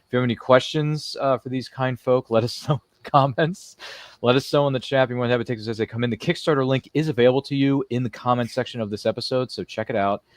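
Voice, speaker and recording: A man's voice: mid-range voice, quick pace, no room sound, studio quality. A man hosting a live-streamed interview show, chatting casually in a jokey, rambling way. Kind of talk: casual chat.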